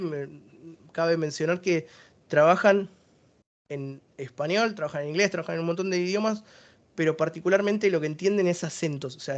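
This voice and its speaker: A man's voice: fairly high pitch, dull audio. A man with an Argentine accent giving a technical webinar presentation, explaining each service step by step.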